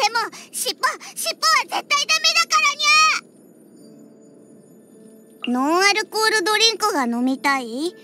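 A woman's voice: high-pitched, sharp tone. A woman voicing a proud, huffy young cat-girl bartender in an anime-style game, with a small childlike voice and cat-like word endings. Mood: indignant. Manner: huffy, tsundere, slipping into cat-like "nya" endings. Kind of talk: Japanese anime-style game character voice lines.

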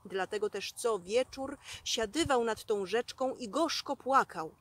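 A woman in her thirties telling a children's fairy tale in an expressive, theatrical, folksy storyteller's manner. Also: a little high, unhurried. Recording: music behind the voice. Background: music.

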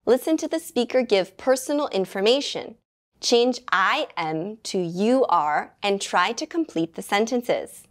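A young woman teaching beginner English grammar in a small studio, speaking slowly and enunciating clearly into a lapel mic. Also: medium pitch, animated. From United States. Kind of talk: scripted beginner grammar lesson.

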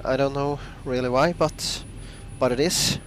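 A man with a Swedish accent talking casually and haltingly while flying a flight simulator at home. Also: medium pitch, slight background noise. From Sweden. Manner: halting, thinking aloud with restarts. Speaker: flight sim hobbyist. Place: home room with simulator cockpit.

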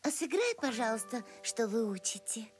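A woman's voice: fairly high pitch, big pitch swings, moderate pace. A woman voicing a kind, caring young female zebra in a cartoon. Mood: gentle. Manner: gentle, caring. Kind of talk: cartoon voice acting. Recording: music playing under the voice.

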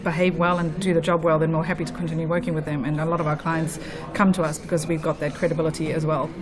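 A woman's voice: low voice, level tone, fast talker, a crowd in the background. A woman from Botswana with a mixed international accent, speaking confidently and emphatically as an interior designer guest on a radio show. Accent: mixed international, Botswana and New Zealand.

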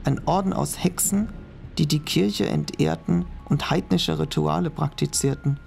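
A man's voice: a little high, a little slow, creaky, darkish tone, faint music behind. A young man playing a cautious student who reads old notes aloud, in an audio drama. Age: young adult. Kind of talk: amateur audio drama acting.

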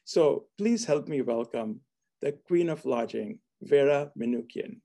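A middle-aged man hosts a formal online event in a mellow, relaxed voice at a medium pitch. He speaks over a video call from an office, as someone introducing and questioning a guest. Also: unhurried, creaky. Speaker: host, hospitality school dean. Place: book-lined office indoors. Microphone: video call, seated at computer.

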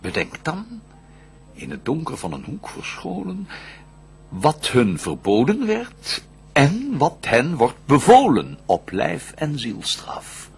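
Husky, scheming fallen angel. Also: male voice, fairly high pitch, wildly swooping pitch, slow pace, faint music behind, exaggerated voice. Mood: sly plotting. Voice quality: husky, raspy. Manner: declamatory, dramatic, swinging from hushed to roaring. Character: Lucifer, scheming vengeful fallen angel ruling the abyss. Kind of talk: theatrical radio drama verse monologue.

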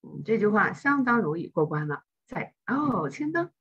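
A woman's voice: mid-range voice, very animated, normal speed, fairly bright tone, husky, highs cut off. A woman teaching English in an online class, explaining patiently and step by step.

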